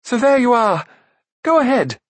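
An excitable young prince, played by a male narrator in an audiobook, pitched high and loud. He sounds naive and breezy, full of defiant pluck. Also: moderate pace, dry recording, exaggerated voice. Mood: nervous bravado. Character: blundering, stout-hearted, excitable young prince. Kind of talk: audiobook character acting.

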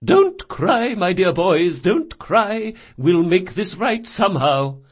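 A man's voice: high voice, big pitch swings, slow pace, slightly rough, voice pushed up, heavily acted. A man voicing a bossy, fierce old grandmother shopkeeper in an audiobook, emphatic and commanding with a strong carrying voice. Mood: brusquely soothing.

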